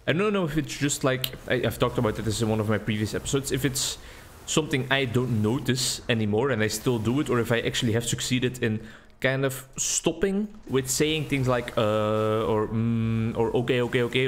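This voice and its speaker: A man's voice: mid-range voice, moderate pace. Man, Belgian accent, 20s, casual game commentary, rambling, faint background hiss. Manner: rambling, relaxed, thinking aloud. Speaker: gaming video creator. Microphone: desk mic with webcam.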